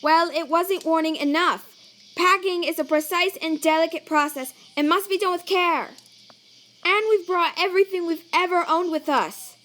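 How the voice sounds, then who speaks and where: A woman's voice: extremely high pitch, normal speed, sharp tone. A woman voicing a fussy, theatrical male skeleton in a comic dub, speaking emphatically and precisely. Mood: indignant. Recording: cricket night ambience behind.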